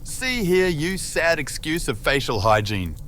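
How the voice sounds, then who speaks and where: A man's voice: a little high, big pitch swings, measured pace, a bit bright, slight background noise, voice pushed up. A man voicing a pompous male royal guard who scolds in a prim, stuffy manner. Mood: scolding.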